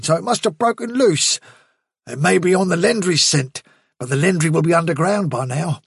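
A bold, headstrong buck rabbit, as a man puts him on in a storybook reading, pitched well above the reader's own voice and loud. He speaks bluntly and forcefully, in a slightly husky tone with a dry sound. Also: no echo, exaggerated voice.

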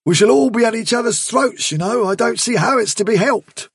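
A man's voice: extremely high pitch, fairly quick, breathy edge, no echo, clean studio sound, voice pushed up, exaggerated voice. A man voicing a blunt, worried young male rabbit.

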